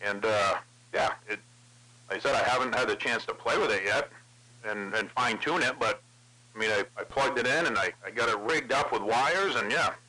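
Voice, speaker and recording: A man's voice: mid-range voice, normal speed, pushed and bright. A man chatting casually over CB radio with crude, profane banter. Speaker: CB radio operator. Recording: noisy CB radio transmission with clicks.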